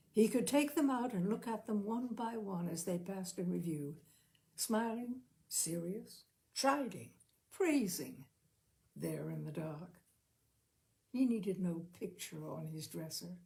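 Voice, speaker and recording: A woman's voice: mid-range voice, gravelly, a little mellow. A woman narrator reading a literary short story expressively and acting out the characters' dialogue.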